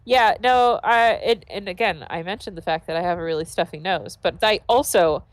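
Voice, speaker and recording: A woman's voice: on the low side, moderate pace, no room sound, low-quality recording. A woman streamer with a stuffy, congested nose, chatting casually and giggling often while playing a game. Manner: giggly, chatty, trips over restarts. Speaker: let's play streamer. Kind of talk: casual gaming chat.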